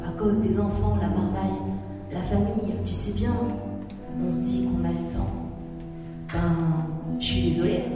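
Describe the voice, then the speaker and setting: A woman from northern France, whose first language is Berber, giving a reflective, poetic spoken-word performance. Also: medium pitch. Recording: roomy hall recording from far off with music.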